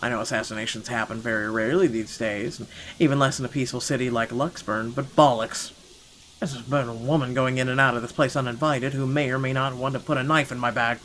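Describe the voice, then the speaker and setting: A man reading a visual novel aloud as a wealthy, irritable gentleman, expressive and indignant in his narration. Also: medium pitch, quick pace, slight background noise, close to an everyday voice, low-quality recording. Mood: wary, brooding. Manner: indignant, expressive, stumbles over the script. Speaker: gaming channel host.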